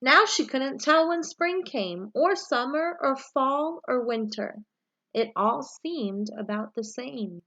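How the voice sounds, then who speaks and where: A woman reading a children's picture book aloud, with expressive, deliberate storytelling phrasing. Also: high voice, highs cut off. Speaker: story reader, read-aloud for young children.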